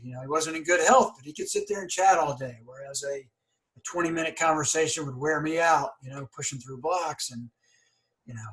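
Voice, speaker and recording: A man in his forties hosts a casual interview podcast. He stutters openly, with blocks and repeated sounds, but speaks with earnest candor. His voice sits a little higher than most men's. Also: fairly bright tone, low-quality recording. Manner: candid and earnest. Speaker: podcast host.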